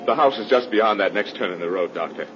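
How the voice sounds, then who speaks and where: A man's voice: fairly low pitch, quick pace, music in the background, close to an everyday voice. An actor playing an earnest, troubled young man, a devoted brother, in 1930s radio horror style. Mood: anxious. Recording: noisy, muffled 1930s radio recording.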